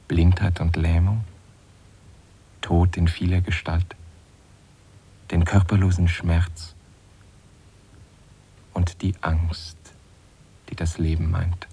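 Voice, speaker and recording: A man reciting verse in a grave, hushed, deliberate manner. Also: sits low, creaky, husky. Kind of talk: solemn poetry recitation.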